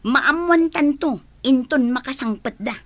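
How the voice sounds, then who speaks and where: A woman's voice: high-pitched, expressive, unhurried, no echo. A woman playing a harsh, scheming, indignant aunt in a radio drama, muttering and exclaiming. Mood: irritated. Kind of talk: radio drama acting in Ilocano.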